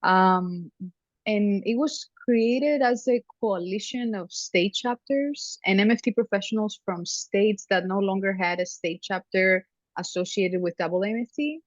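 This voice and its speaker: A woman from Greece, a family therapy professor, chatting as a guest in an interview over a video call, talking easily with frequent ums and soft laughs. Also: medium pitch, a little slow, no echo. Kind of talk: conversational interview. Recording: dry, dull lo-fi sound.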